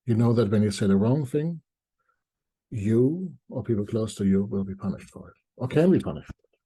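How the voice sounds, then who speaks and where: A middle-aged man from East Germany, giving reflective spoken commentary to viewers in deliberate short phrases with pauses. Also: lowish voice, lively intonation, mellow, husky, low-quality recording. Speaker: commentator presenting a video essay.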